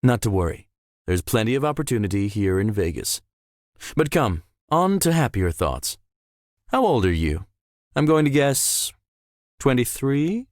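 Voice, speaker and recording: A man's voice: mid-range voice, sing-song, unhurried, no echo, studio quality. A man voicing a suave, self-assured young billionaire in a visual novel, with formal, polished and theatrical phrasing. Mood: charming, confident. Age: young adult. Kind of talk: visual novel character acting.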